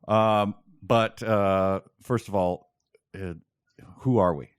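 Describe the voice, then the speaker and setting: Low-voiced man bantering on a podcast. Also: fairly even intonation, slow, clear, resonant tone, dry recording, studio quality. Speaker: podcast co-host. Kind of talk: casual chat, bantering with co-host.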